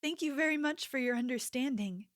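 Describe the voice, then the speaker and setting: A woman playing a sweet, attentive young convenience store clerk in an ASMR roleplay, speaking softly with a gentle, hesitant manner. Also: sits high, fairly quick, no room sound, studio quality, lightly acted. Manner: gentle, apologetic, hesitant, solicitous.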